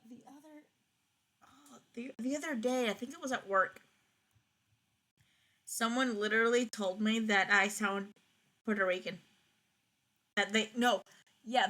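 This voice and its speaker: A woman's voice: medium pitch, a bit bright. A young woman chatting casually while playing a game, rambling with frequent restarts. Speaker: streamer. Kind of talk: casual gaming chat.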